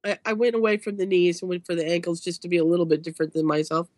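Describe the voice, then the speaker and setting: A woman in her forties playing a tabletop role-play game, calmly reading out her character notes. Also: on the low side, rapid-fire, no room sound. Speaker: player. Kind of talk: casual podcast chat.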